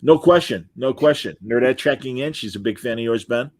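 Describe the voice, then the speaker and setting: A middle-aged man hosts a relaxed interview show. His talk is chatty and informal, with an enthusiastic streak, and his voice sits at an ordinary male pitch in a dry, close recording. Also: brisk, no room sound. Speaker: podcast host and interviewer. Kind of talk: casual interview chat.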